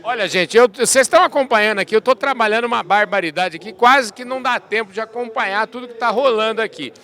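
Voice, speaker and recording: A man's voice: high-pitched, fast talker, bright, forceful tone. A man hosting an interview show, covering a convention with enthusiastic, rambling questions and frequent repetitions. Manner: enthusiastic, rambling, repeats himself. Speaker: podcast host. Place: outdoors at a convention.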